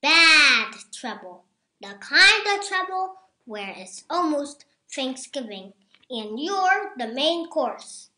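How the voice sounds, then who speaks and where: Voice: high voice, big pitch swings, takes it slowly, pushed and bright. A six-year-old child reading a picture book aloud, word by careful word. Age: child, six years old. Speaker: young storybook reader. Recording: roomy echo.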